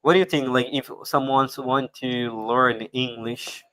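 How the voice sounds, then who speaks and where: Halting man hosting a live stream. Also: mid-range voice, steady tone, bright, forceful tone, poor audio. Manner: halting, repeats words, non-native grammar. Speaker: host. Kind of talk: casual live-stream chat.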